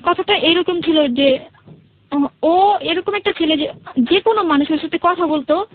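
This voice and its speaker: High-pitched Bangladeshi woman telling her story. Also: a little slow, no room sound, phone-quality sound. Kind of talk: personal storytelling in a radio interview. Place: radio studio.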